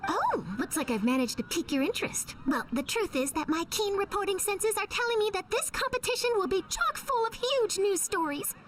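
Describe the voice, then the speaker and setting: A woman's voice: very high-pitched, animated, fairly quick, few pauses, far from the mic, slight background noise. A woman voicing an eager, confident young newspaper reporter in a video game, perky, polished and persuasive. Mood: dramatic, confident.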